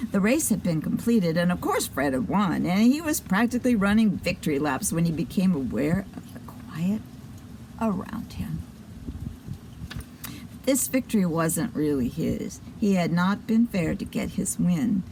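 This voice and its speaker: A woman tells a story as the host of a children's show. Her mid-range voice rises and falls expressively, and she slips into chatty asides. Clear background noise sits behind her. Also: medium pitch, mellow. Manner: chatty asides to listeners and puppets.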